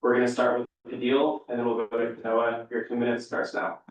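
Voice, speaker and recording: Flat-voiced young man moderating. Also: mid-range voice, brisk. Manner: relaxed, filler-heavy delivery. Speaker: interview moderator. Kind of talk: hosting a public panel interview. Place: hall with audience. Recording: echoey room, distant mic.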